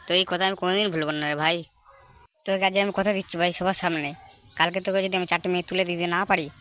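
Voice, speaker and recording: A cocky young cartoon slacker, voiced by a man, rattling off brash, crude slang very quickly. He sounds hot-headed, and faint noise sits behind the voice. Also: sits high, steady tone, pushed and bright. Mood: urgent. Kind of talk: amateur comedy cartoon dub.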